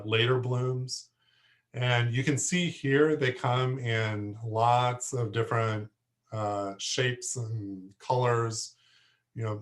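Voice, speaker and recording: A man teaching a plant class who is losing his voice, hoarse and halting, often stopping to clear his throat. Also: medium pitch. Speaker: horticulture instructor. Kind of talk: lecture to a class.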